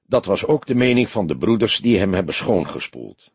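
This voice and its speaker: Audiobook role: young junior detective, precise, self-assured, animated intonation, played by a male narrator. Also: on the low side, moderate pace, breathy edge, no room sound, full character voice. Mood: precise, factual. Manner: factual, emphatic reporting.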